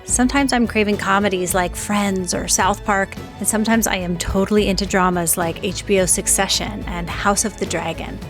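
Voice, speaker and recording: A woman's voice: mid-range voice, fairly even intonation, moderate pace, few pauses, faint music behind. A woman hosting a podcast, speaking in a conversational presenter style. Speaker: podcast host. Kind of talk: host narration, ad reads and conversational interviewing.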